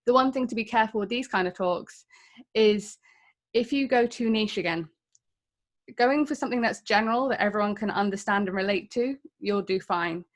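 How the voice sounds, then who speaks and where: A woman's voice: medium pitch, moderate pace. A woman in her thirties with an English accent, giving a candid, self-deprecating talk to an audience of developers. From England. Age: 30s. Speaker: conference speaker.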